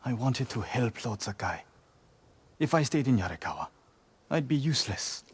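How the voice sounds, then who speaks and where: A man playing an earnest, nervous young blacksmith in a video game, hesitant, gentle and deferential. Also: medium pitch, sing-song, normal speed, breathy edge, heavily acted. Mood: earnest, determined. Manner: hesitant, soft-spoken, deferential. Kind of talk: dramatic video game acting.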